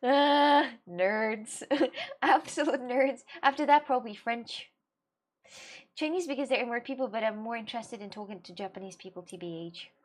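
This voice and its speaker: A woman's voice: fairly high pitch, moderate pace. Giggly rambling woman. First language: Russian. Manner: giggly, rambling, many fillers and restarts. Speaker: streamer chatting with viewers. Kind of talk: casual live-stream chat.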